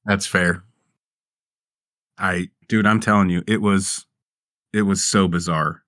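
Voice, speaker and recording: Low voice guy in a tabletop game, dry sound, casually narrating his character. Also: fairly even intonation, slow pace, a bit bright, no room sound. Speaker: player in a tabletop role-playing game. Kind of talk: casual improvised play-by-play of a character.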